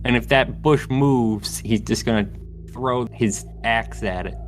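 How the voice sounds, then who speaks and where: A man's voice: medium pitch, measured pace, a little hiss or hum. A man playing a tabletop game, chatting casually in a wry, slightly hesitant way. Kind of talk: casual banter.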